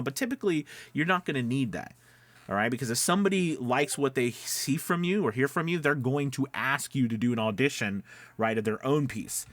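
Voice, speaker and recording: A man with a medium-pitched voice gives casual, step-by-step instruction as a coach. He is conversational and emphatic, often checking that listeners follow. The sound is dry, with very little room echo. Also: a bit bright. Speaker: voice-over coach.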